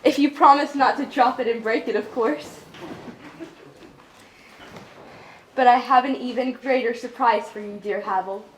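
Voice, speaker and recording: A woman playing a gracious young princess, devoted and gently teasing, in a staged reading of a stage musical. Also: a little high, steady tone, a little fast, slight background noise, natural voice, poor audio. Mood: fond, playful.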